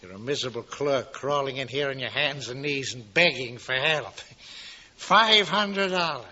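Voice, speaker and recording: An actor playing a cold, miserly banker villain in 1940s radio drama style, mocking and taunting. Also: male voice, mid-range voice, moderate pace, pushed and bright. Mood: gloating, contemptuous. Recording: muffled, hissy 1940s radio recording.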